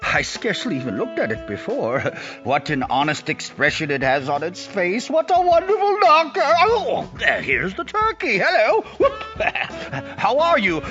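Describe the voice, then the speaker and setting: An actor playing an elderly reformed miser giddy with joy and generosity, exclaiming, stammering and repeating himself in an audio drama. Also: male voice, high voice, wildly swooping pitch, unhurried, hardly any pauses, bright, forceful tone, lo-fi sound, soft background music, full character voice. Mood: delighted.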